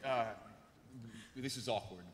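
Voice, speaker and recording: A man's voice: mid-range voice, slow pace, heavy background noise, natural voice. An actor playing a stern, earnest male secret agent in a live table read, with clipped, formal delivery. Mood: defensive. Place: convention panel stage, table microphone. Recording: echoey convention hall.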